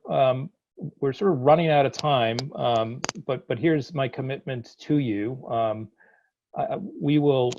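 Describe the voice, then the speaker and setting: A man's voice: mid-range voice, darkish tone, dull audio. A man with a Boston accent, a dean hosting a virtual town hall, talking informally with many restarts and fillers over a video call. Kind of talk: informal closing remarks.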